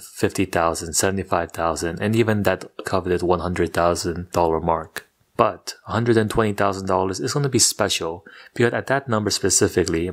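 A young man talking through his investing goals in a scripted video, with a steady, monotone delivery. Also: sits low. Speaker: personal finance YouTuber. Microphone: studio microphone off camera.

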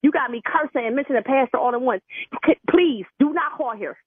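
A woman's voice: high-pitched, fast. A woman working the phones at a law office, arguing bluntly and with exasperation in a heated call. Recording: tinny narrowband telephone line.

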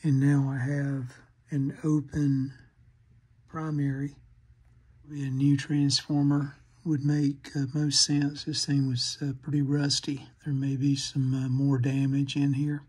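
A man narrating a hands-on repair demonstration, haltingly thinking aloud as he works at a bench indoors. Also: medium pitch, monotone, a little mellow, breathy edge. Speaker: host of a radio repair channel.

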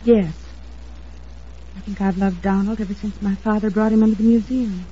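A woman's voice: medium pitch, steady tone, normal speed, dark tone, slight background noise, close to an everyday voice. An actress playing an anxious, earnest young woman in an old-time radio drama, with dramatic, hesitant delivery. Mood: indignant. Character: earnest, anxious young woman, defensive about her sweetheart. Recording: dull old radio recording.

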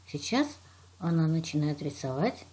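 A woman, a teacher giving step-by-step instructions in deliberate, short, simple phrases. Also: low-pitched, big pitch swings, darkish tone. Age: 60s. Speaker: children's art and animation teacher. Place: indoor room, plain wall.

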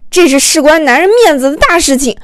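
A woman's voice: sits high, animated, a little fast, no room sound. A woman voicing a smug, proud young husband who teases and insists, in an audiobook. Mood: wounded pride.

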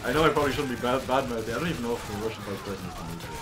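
A man's voice: mid-range voice, animated, racing. Joking man gaming, noisy mic. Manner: joking, ranting, laughs often. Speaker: gaming channel co-host. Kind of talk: casual gaming banter.

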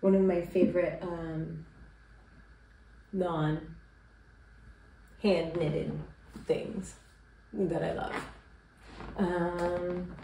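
Congested woman chatting slowly about knitting. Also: lowish voice, slight background noise. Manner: relaxed and rambling, with frequent ums and likes. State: congested, sick with a cough. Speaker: knitting podcast host. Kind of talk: casual chat to camera. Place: small room at home with yarn shelves. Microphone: camera at a distance. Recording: roomy, distant camera sound.

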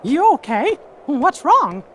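Cartoon mouse king, falsetto squeak, very lively, unhurried, soft music. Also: a little hiss or hum, full character voice. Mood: earnest. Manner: earnest, upbeat. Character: cheerful high-voiced cartoon mouse king, adult male. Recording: game audio with music behind.

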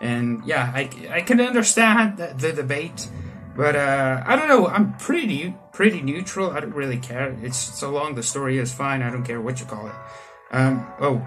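A man's voice: mid-range voice, fairly bright tone, low-quality recording. A young man from South Africa reading a visual novel aloud with casual, rambling commentary and joking asides. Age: young adult. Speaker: let's player. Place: small room indoors.

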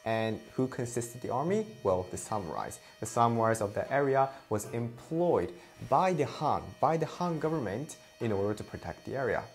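A young Japanese karate instructor with a Japanese accent explains to camera in an earnest, emphatic way, stressing and repeating his key points. His voice sits in the middle range and is clipped close by a lapel mic. Soft music runs beneath him. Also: mid-range voice, animated, normal speed. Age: young adult. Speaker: karate instructor and channel host. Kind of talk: explanatory video lesson to camera. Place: indoor studio before a plain grey backdrop.

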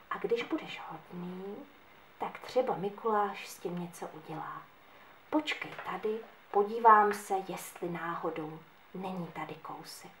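A woman voicing a gentle, kind female angel who speaks softly and soothingly. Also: mid-range voice, a little hiss or hum, lightly acted. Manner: soft, hushed and soothing. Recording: echoey room.

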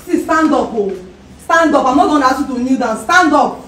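A woman's voice: sits high, animated, a little fast, a bit bright, distant mic, a little hiss or hum. A woman with a Nigerian accent playing a stubborn, fed-up wife in a relationship drama, emphatic and repetitive. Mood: firm, insistent. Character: stubborn, fed-up young wife determined to leave. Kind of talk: relationship drama acting with Pidgin expressions.

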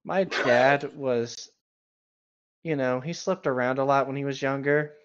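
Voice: medium pitch, steady tone, moderate pace, lo-fi sound. A co-host casually reading a story aloud and chatting about it in a conversational, sweary way. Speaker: co-host reading and mocking an online horror story.

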